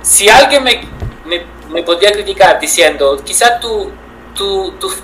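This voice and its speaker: Italian guy in his 30s, bright voice, hesitant, lots of um, slight hiss behind. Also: high voice, huge pitch swings, unhurried, low-quality recording. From Italy. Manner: thoughtful, hesitant, many fillers and restarts. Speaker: guest photographer. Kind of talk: reflective interview answers.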